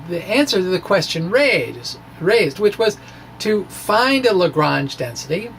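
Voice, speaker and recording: A man's voice: sits high, gravelly, fairly bright tone, slight background noise, poor audio. A middle-aged man giving a playful, theatrical reading of a science blog, drifting into informal asides. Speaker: physics blogger reading his own blog. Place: small indoor room with bookshelves. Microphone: microphone on a stand in front of him.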